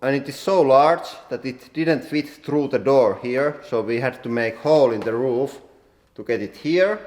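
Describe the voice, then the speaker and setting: Finnish-accented man, non-native English, halting and casual; echoey hall with long reverb. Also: mid-range voice, darkish tone. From Finland. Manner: plain halting English with many "like" fillers. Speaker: workshop owner and channel host. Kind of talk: casual workshop tour. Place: large industrial workshop hall.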